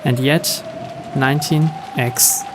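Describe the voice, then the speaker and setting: A man's voice: mid-range voice, slow pace. A man reading a game's text aloud as dramatic scripted narration. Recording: steady rain noise behind. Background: rain.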